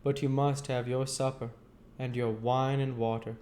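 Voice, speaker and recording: A man's voice: medium pitch, little pitch movement, moderate pace, warm tone, slight background noise, pitched above the performer's own voice, close to an everyday voice. A man voicing a kindly, uneducated country man from a novel, earnest and argumentative, with rustic, emphatic phrasing. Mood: earnest, gently insistent. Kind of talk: audiobook character voice.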